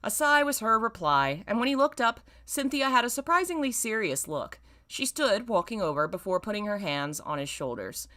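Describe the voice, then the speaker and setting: A woman reading a story aloud as host, in an expressive, theatrical way. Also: medium pitch, moderate pace, few pauses. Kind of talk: dramatic story reading with amused asides.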